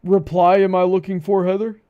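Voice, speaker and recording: A man's voice: high voice, monotone, fairly quick, clean tone, narrow, tinny audio. A man hosting a show with casual, incredulous and exasperated commentary. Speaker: host.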